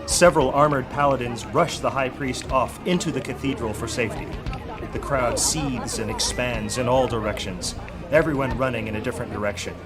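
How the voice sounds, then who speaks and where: A man narrating a high fantasy audio drama with dramatic storytelling delivery. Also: brisk, over loud music, natural voice. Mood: dramatic, grave. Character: male narrator of dark high fantasy adventure.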